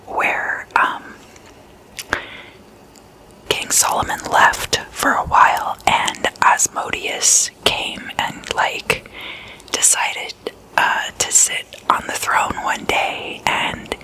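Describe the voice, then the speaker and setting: An ASMR host whispering casually in a breathy, intimate way, softly lingering over words as if telling stories to a friend. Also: poor audio.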